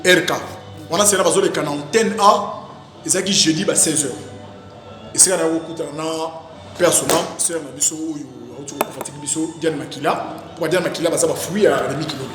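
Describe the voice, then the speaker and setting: A man's voice: on the high side, fairly quick. Echoey Congolese man recounting in a rambling way. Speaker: stage actor being interviewed. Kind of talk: casual interview, recounting career. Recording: very echoey reverberant room, music behind. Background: music.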